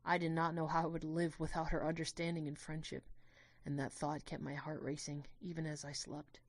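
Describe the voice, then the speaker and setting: A woman giving a dramatic first-person narration of a scripted story, in a measured, literary and expressive way. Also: deep voice, no echo.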